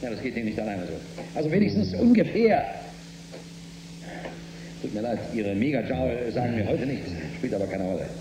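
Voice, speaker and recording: A decisive spaceship crewman played by an actor in a radio play, brisk and wry under pressure. His mid-pitched male voice rings in an echoey cabin full of noise and hum. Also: lively intonation, natural voice. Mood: wry, urgent. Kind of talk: radio drama acting.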